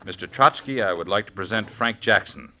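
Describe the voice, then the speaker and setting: An actor playing a sly, cryptic go-between in a Mexico bar, speaking in a measured, insinuating way in a 1950s radio drama. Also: male voice, lowish voice, lively intonation, a little hiss or hum, exaggerated voice. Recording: old radio recording, loud music.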